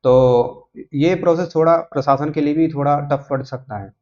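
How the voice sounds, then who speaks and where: A man's voice: a little high, moderate pace, warm tone. A man informally explaining updates to viewers off the cuff, rambling a little and often checking in with short tags. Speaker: YouTube host giving job exam updates. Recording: dull lo-fi microphone sound.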